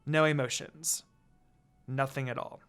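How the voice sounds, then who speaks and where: A fan-fiction reader narrating a first-person story in a dramatic, expressive way. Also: medium pitch, measured pace, smooth, soft background music.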